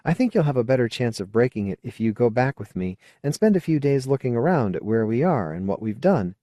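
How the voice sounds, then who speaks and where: A man's voice: mid-range voice, big pitch swings, fairly quick, dark tone, no room sound, studio quality, pitched above the performer's own voice, natural voice. A man voicing a frank, earnest, coaxing woman in an audiobook. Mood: pleading, persuasive. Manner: earnest, coaxing, plain-spoken. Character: frank, persuasive woman colonist, old friend. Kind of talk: audiobook character dialogue.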